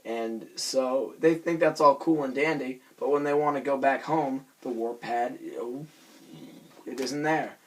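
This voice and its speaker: A hoarse young man with a raspy voice, rambling casually through a self-conscious vlog with many fillers and restarts. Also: mid-range voice, measured pace, low-quality recording. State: hoarse from screaming. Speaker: vlogger reviewing a cartoon episode.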